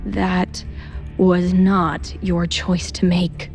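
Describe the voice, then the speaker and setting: A woman narrator voices a proud, angry young prince. The delivery is accusatory and indignant, in audio drama style, over soft music. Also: on the low side, little pitch movement, extremely slow. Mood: disgusted, indignant. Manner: forceful, accusatory.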